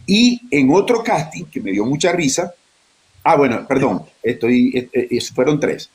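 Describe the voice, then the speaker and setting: A man speaks in a middle-pitched voice, telling stories animatedly and circling back over his words. He talks as an actor guest in a live panel conversation, repeating phrases as he builds his point. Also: measured pace. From Venezuela (Maracaibo). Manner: animated storytelling, frequent restarts and repetitions.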